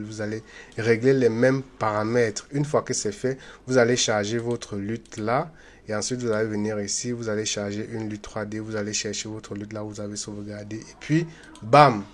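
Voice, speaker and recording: A man hosting a software tutorial, talking loosely and chattily with many fillers and restarts, and speaking straight to his viewers in a friendly way. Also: fairly low pitch. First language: not English. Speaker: YouTube tutorial host. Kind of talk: unscripted screen-recorded software tutorial.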